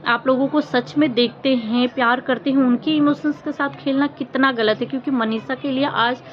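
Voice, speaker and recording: Woman, higher-pitched, earnest and scolding, informal commentary, emphatic, faint background noise. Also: fairly even intonation, moderate pace. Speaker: vlogger commenting on social media controversy. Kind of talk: informal opinion commentary to viewers. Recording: muffled lo-fi audio with faint noise.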